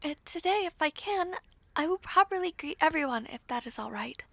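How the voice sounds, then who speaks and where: Woman acting a shy former maid, high gentle voice. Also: lively intonation, brisk, vocal fry, no echo, heavily acted. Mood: flustered, polite. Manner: soft-spoken, hesitant, formal and apologetic. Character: shy, humble young former maid, politely flustered in new luxury. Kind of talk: scripted audio roleplay acting.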